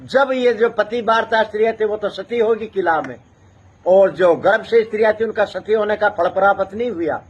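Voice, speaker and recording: A man's voice: sits high, moderate pace, slightly rough. A man with a rural Haryana-Rajasthan accent telling oral history as a traditional community storyteller, emphatic and repeating phrases in a building chant. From North India.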